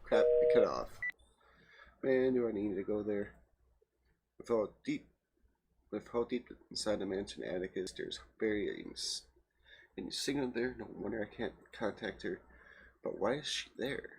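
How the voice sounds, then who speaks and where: A man's voice: lowish voice, lively intonation, normal speed, pauses often, darkish tone, husky. A man reading a game's text aloud as a let's play host, stumbling here and there and adding casual asides. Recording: very noisy home recording.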